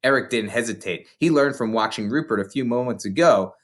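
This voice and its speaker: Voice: medium pitch, fairly quick. A reader, narrating a children's novel aloud. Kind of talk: read-aloud storybook narration.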